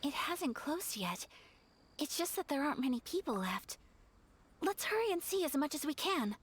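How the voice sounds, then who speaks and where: High-voiced, refined young noblewoman. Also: sing-song. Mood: polite, composed. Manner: polite, formal, measured. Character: gracious, refined young noblewoman, gentle and polite. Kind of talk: anime video game voice acting.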